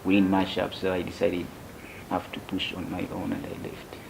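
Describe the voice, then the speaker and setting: Ugandan man in his twenties, interview guest; very low, gravelly voice, slow and soft-spoken, faint hiss behind. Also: completely flat delivery. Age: 20s. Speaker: young tech founder, interview guest. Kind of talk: interview answers.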